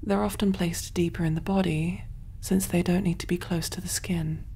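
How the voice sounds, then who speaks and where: A woman voicing a kind, clinical alien surgeon in a gentle, reassuring ASMR roleplay, soft-spoken and often whispering. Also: lowish voice, fairly even intonation, moderate pace, slight background noise, natural voice. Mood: soothing, reassuring.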